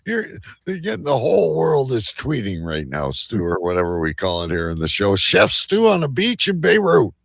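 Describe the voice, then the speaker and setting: A man's voice: mid-range voice, wildly swooping pitch, moderate pace, gravelly, few pauses, a bit bright, slightly rough, no echo, clean studio sound. A man hosting a casual live talk show, teasing and prodding his guests with joking questions.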